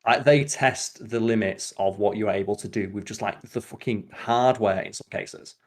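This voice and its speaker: A man's voice: on the low side, moderate pace. A man hosting a podcast in casual chat, with a sweary, opinionated way of talking. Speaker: podcast host.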